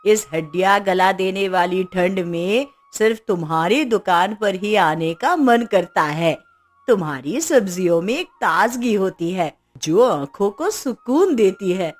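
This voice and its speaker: A woman playing a kindly, thrifty middle-aged aunt in a cartoon story, with an affectionate manner. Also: lowish voice.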